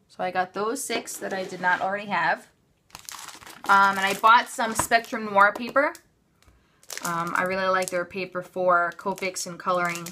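A woman's voice: mid-range voice, sharp tone, slight background noise. A woman talking casually and off the cuff, chatty and offhand, often interrupting herself as she shows items. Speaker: craft haul vlogger. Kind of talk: casual unscripted show-and-tell. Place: indoors at a craft table.

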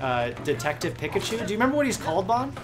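A man in his twenties chatting casually while playing a video game on a stream, rambling and excitable with many fillers. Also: sits high, rapid-fire. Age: 20s. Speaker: stream co-host. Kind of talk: casual gaming banter. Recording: music playing behind.

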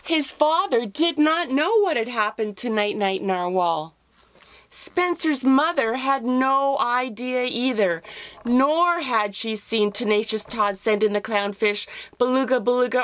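A middle-aged woman reads a children's picture book aloud in an expressive, deliberate storytelling manner. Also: a little high. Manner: expressive, deliberate, with drawn-out phrases. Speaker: author reading her picture book aloud.

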